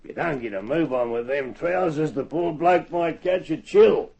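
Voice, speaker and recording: Radio drama casting: sly rural bully feigning innocence, highish gravelly voice, folksy. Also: male voice, moderate pace, dark tone. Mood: breezy, sly. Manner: folksy, colloquial, mock-polite. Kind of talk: old-time radio drama acting. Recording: dull lo-fi old radio recording.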